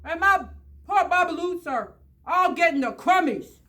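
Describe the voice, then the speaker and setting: A woman's voice: very high-pitched, expressive, a little slow, pushed and bright, exaggerated voice. A woman voicing an indignant, scolding storybook creature with a querulous, emphatic delivery.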